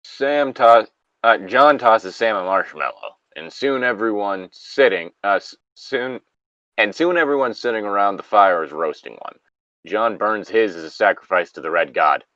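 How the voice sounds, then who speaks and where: A man bantering casually and reading a story aloud haltingly, recorded on a slightly dull mic. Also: on the low side, expressive, bright, forceful tone, no room sound.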